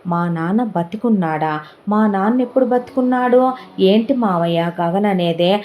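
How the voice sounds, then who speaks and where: A woman's voice: mid-range voice, dull audio, lightly acted. A woman voicing a distraught young man who fires off questions about his missing father. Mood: agitated pleading. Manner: rapid anguished questioning.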